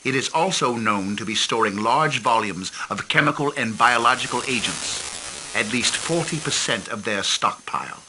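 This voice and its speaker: An actor playing a formal, grave intelligence chief who briefs a commander in a game cutscene, measured and dropping low at the ends of lines. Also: male voice, animated, bright, forceful tone, slight background noise, poor audio. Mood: urgent. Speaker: mission commander on a video link.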